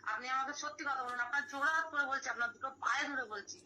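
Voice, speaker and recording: A woman's voice: sits high, fast, sharp tone. A woman pleading insistently and repeating herself in an emotional argument on a phone call recording. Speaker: caller confronting another woman.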